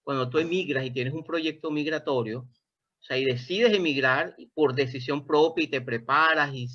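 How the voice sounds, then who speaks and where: A man's voice: on the high side, normal speed, pushed and bright. A Venezuelan man interviewing a guest, speaking in a thoughtful, expansive way and often restarting his phrases. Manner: thoughtful, expansive, self-correcting. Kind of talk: reflective interview conversation. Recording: dull lo-fi sound.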